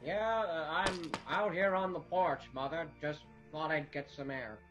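An actor playing a stubborn young small-town man in a radio drama, halting and grudging. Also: a little high, dull audio, over music, lightly acted. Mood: resigned.

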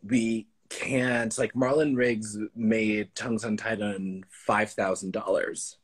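A man's voice: fairly low pitch, flat delivery, takes it slowly, slight background noise. A young man speaking reflectively in a casual live video call, with loose phrasing and fillers, recorded on a phone close up at home. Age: young adult. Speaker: artist in conversation. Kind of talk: casual live-stream chat. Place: indoors at home. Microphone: phone close to face on a video call.

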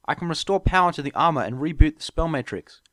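A man's voice: fairly high pitch, expressive, quick pace, a bit bright, no echo, voice pushed up. A man voicing a young female pony adventurer in an audiobook, earnest, casual and a little awkward. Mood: eager, confident. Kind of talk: audiobook character voice.

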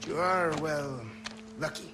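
An actor playing a roguish, suave man in his thirties with a Spanish accent and teasing, dramatic pauses in a video game. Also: fairly high pitch, fairly even intonation. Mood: reassuring, explaining. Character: roguish, charming Spanish man with secret knowledge. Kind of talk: cinematic video game acting. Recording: roomy game cutscene with music underneath.

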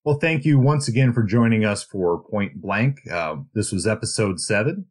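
A man's voice: fairly low pitch, expressive, moderate pace. A man co-hosting a podcast, talking through books in a casual, reflective and explanatory way. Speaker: podcast co-host. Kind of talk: casual book discussion.

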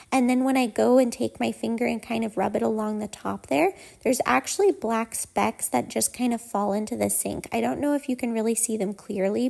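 A woman's voice: on the high side, creaky, darkish tone. A woman in her thirties giving a chatty, easygoing voice-over for her home cleaning vlog, explaining what she does as she goes. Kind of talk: casual voice-over narration.

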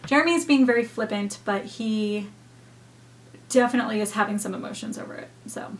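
A woman's voice: a little high, brisk, slight background noise. A young woman hosting a reaction video from a room at home, talking casually and thoughtfully with many fillers.

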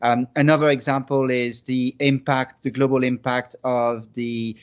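A man's voice: mid-range voice, flat delivery, measured pace. French man presenting over a video call. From France. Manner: hesitant, many restarts and fillers. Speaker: conference speaker. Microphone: video call laptop mic. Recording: dull lo-fi video call audio.

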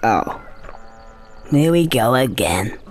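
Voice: mid-range voice, sing-song, takes it slowly. A performer voicing an easygoing cartoon squirrel hero in a video game, wry and hesitant, muttering asides to himself over music. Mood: wry, puzzled. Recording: music underneath.

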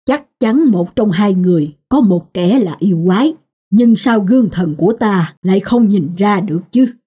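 A woman's voice: mid-range voice, animated, moderate pace, dark tone, slightly rough. A woman playing a stern, wise goddess of love in a cartoon, solemn and admonishing. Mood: grave. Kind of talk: Vietnamese cartoon dialogue.

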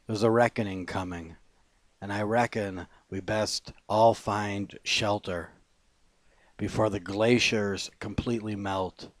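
A man recites poetry in a fairly low voice. His pace is very slow and deliberate, with measured phrases set apart by pauses. The sound is dry, with no room echo around the words. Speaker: poet. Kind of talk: poetry reading.

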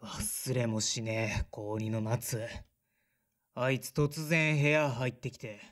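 A man's voice: medium pitch, fairly even intonation, slow pace, fairly bright tone. A man playing a blunt, resentful son in his thirties, in audio drama dialogue and narration. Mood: bitter, defiant. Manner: sullen, blunt. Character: resentful 35-year-old son, former teenage rock guitarist.

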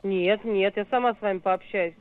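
A woman's voice: mid-range voice, normal speed. Matter-of-fact woman on a muffled phone line. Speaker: club receptionist answering phone. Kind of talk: brief phone conversation.

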